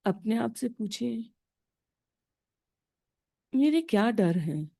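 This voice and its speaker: Slow, mellow Indian woman guiding meditation. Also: a little high, very animated, vocal fry. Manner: slow, deliberate, instructional, pausing between short phrases. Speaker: meditation guide. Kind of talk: guided meditation.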